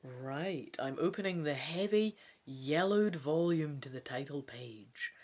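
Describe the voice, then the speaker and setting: A woman hosting a gamebook playthrough, reading dramatically in an expressive, playful way with casual asides. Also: low voice, slow pace. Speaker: host of a gamebook let's play.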